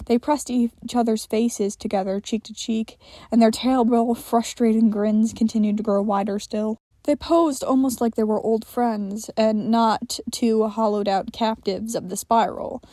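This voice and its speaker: A woman's voice: on the high side, fairly even intonation, moderate pace, mellow, no echo. A woman narrating a scripted audio story. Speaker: podfic narrator.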